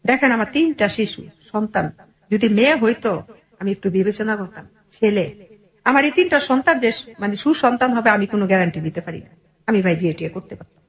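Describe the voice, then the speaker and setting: A woman's voice: mid-range voice, animated, brisk, rough recording. A middle-aged woman with a Bangladeshi accent telling a personal story in conversation, earnestly and in detail, often quoting dialogue. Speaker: interview guest telling her life story.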